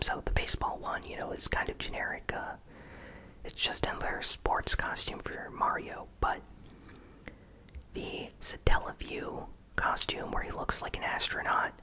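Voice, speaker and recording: A host speaks entirely in a breathy whisper, presenting gaming news as ASMR. The delivery is casual and relaxed, with informal phrasing throughout. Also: low-quality recording.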